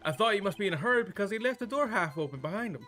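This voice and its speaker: Man doing a shifty, bright-voiced male witness. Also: sits high, expressive, racing, faint music behind, pitched above the performer's own voice. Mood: recounting. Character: shifty male newspaper seller testifying as witness.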